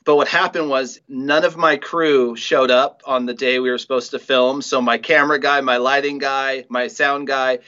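A man's voice: mid-range voice, level tone, normal speed, hardly any pauses, bright, forceful tone, dull audio. A middle-aged man, a writer and director, telling stories casually as an interview guest.

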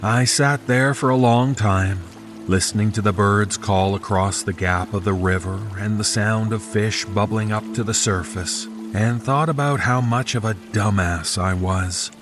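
A man's voice: on the low side, a little slow, faint music behind. A man reading a scripted first-person horror story as its narrator.

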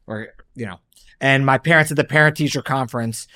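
A man's voice: mid-range voice, brisk. Storytelling male doctor co-host. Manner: storytelling, animated anecdotes. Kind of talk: casual chat.

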